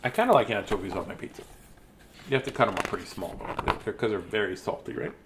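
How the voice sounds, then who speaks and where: A man's voice: lowish voice, fast talker, background noise. A man reading children's stories aloud, chatting casually and breaking off into joking asides. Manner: chatty, self-interrupting, jokey asides. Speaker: children's story reader.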